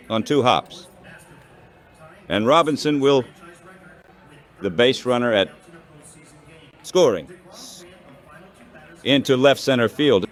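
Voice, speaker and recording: A man's synthetic text-to-speech voice calling baseball play-by-play in short, clipped phrases. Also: mid-range voice. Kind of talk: baseball radio play-by-play commentary.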